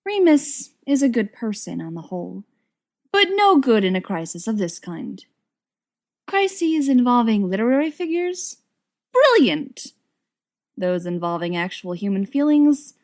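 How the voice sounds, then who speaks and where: Female fiction reader, higher-pitched, expressive narration. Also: a little slow. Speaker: volunteer audiobook reader.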